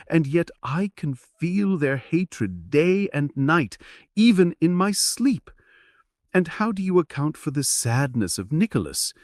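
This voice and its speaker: A man takes on a sad, pleading young wife in a stage play. The delivery is theatrical and plaintive, with highly animated pitch and an unhurried pace. The sound is very dry. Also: dry recording. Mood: troubled. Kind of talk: dramatic reading of a stage play.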